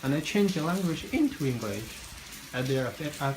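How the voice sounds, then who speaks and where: A man's voice: fairly high pitch, big pitch swings, a little fast. A young man, a student, interviewing a classmate and reading his questions in a hesitant way, with many fillers and restarts. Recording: noisy with frying sizzle behind.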